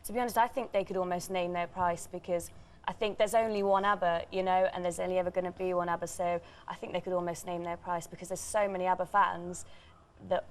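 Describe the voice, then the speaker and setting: A woman's voice: on the low side, little pitch movement, quick pace. A young woman in a TV studio interview, chatty and bubbly, with lots of fillers. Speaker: tribute band singer. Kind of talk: live TV interview guest. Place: TV news studio.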